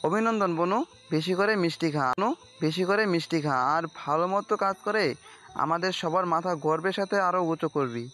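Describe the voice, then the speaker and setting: An actor playing a playful, fond young elder brother in an audio story, talking in a familiar, teasing way. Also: male voice, high voice, normal speed, fairly bright tone, soft background music. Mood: fond, proud.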